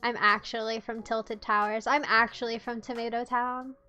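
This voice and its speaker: A woman's voice: on the high side, level tone, normal speed, bright, forceful tone, muffled recording, faint music behind. A young woman from the United States streaming live, chatting casually and teasing her chat in a playful way.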